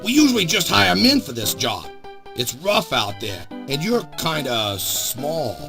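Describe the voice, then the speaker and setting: An actor playing a gruff, blunt, middle-aged big-city newspaper boss in an adventure game, with music behind him. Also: male voice, fairly high pitch, wildly swooping pitch, a little slow, exaggerated voice. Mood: skeptical. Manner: blunt, bossy, emphatic. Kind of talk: scripted adventure game acting. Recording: music under the voice.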